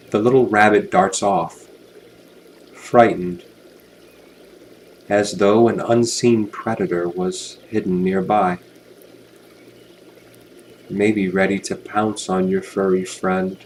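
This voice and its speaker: A man with a fairly low voice guides a hypnosis session. He speaks very slowly and soothingly, leaving long pauses between gentle phrases. A steady wash of watery ambient noise runs behind him. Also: low-quality recording. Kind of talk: guided hypnosis relaxation narration.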